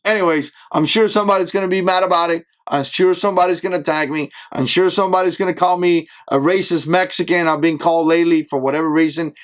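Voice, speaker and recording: Guy with an accent ranting on his channel, kinda high voice, keeps saying right?. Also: fairly even intonation, fast, few pauses. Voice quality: speaks with an accent that is not standard American. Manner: emphatic, with frequent tag questions of "right?". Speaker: channel host. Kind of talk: opinion commentary to camera.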